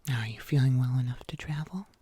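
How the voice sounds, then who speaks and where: An ASMR performer playing a kindly huntsman healer in a whispered fantasy roleplay, soothing and breathy, with old-fashioned phrasing. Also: mid-range voice, fast, dark tone. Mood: gentle, caring. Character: kindly fairy-tale huntsman healer, caring and gently wry.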